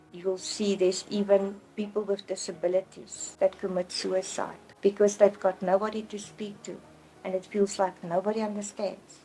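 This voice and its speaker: A woman's voice: medium pitch, low-quality recording. Earnest Afrikaans-accented woman speaking. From South Africa. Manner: earnest, plainspoken, often restarts words. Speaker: store clerk telling her story. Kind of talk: personal testimony in an interview.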